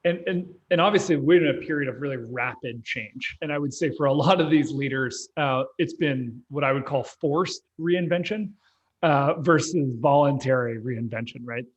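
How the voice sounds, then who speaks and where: A man co-hosts a podcast over a video call, his pitch in the middle range. He asks long, conversational questions and often repeats himself as he goes. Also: moderate pace, lo-fi sound. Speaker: podcast co-host.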